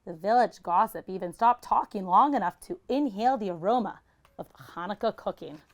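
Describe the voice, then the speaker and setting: A woman's voice: mid-range voice, expressive, brisk. A woman reading a children's picture book aloud like a teacher, expressively and with dramatic emphasis.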